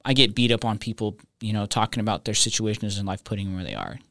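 A pastor from Reno chatting casually, laid-back, laughing often and calling people dude. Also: male voice, on the low side, lively intonation, quick pace, vocal fry, a bit bright, no echo, clean studio sound. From Reno, Nevada. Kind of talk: casual chat.

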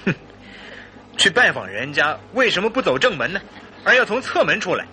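Radio play casting: stern, mistrustful husband, very sharp bright voice, dramatic swings in pitch. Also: male voice, big pitch swings, brisk, a little hiss or hum, full character voice. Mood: angry. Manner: forceful, accusing, dramatic. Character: suspicious, wounded husband, stern and hot-tempered. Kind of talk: old-fashioned radio drama acting.

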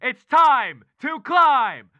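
An actor playing an excitable nineties kids' TV game show host, with showy, hyped announcing. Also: high voice, very slow, no echo, heavily acted. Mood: triumphant hype. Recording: dull lo-fi TV broadcast sound.

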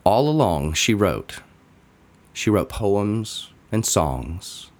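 Man, deep voice, slow pace, scripted devotional reading, deliberate pauses. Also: very animated. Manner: measured, deliberate phrasing with pauses. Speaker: reader of a daily devotional.

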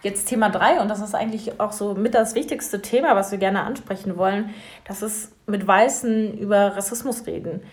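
Young woman co-host, relaxed and wry. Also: medium pitch, normal speed. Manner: relaxed, leads topics, dry remarks. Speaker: radio show co-host. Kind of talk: casual radio chat.

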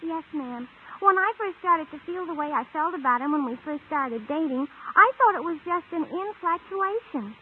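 A woman's voice: sits high, lively intonation, normal speed, hardly any pauses, narrow, tinny audio. An actress playing a naive, ditzy teenage girl with affectedly proper speech in a 1950 radio sitcom, on a thin old recording. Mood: earnest, worried. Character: ditzy, earnest teenage girl using mangled big words.

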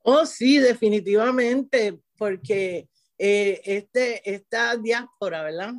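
A woman's voice: medium pitch, takes it slowly. Middle-aged Puerto Rican woman, teacher guest, loose talk full of restarts and asides. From Puerto Rico. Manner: restarts often, frequent "¿verdad?" tag. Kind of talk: conversational interview.

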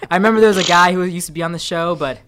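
An excitable, teasing podcast host bantering casually with a group of friends. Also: medium pitch, very fast, crowd noise.

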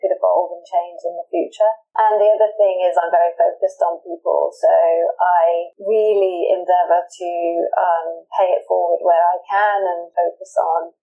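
A woman from the UK with a British accent, an executive introducing herself in an interview in a measured, earnest way. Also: lowish voice, fairly even intonation, narrow, tinny audio. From United Kingdom. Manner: measured, earnest, with frequent restarts. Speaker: pharmaceutical executive, interview guest. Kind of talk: interview, professional self-introduction.

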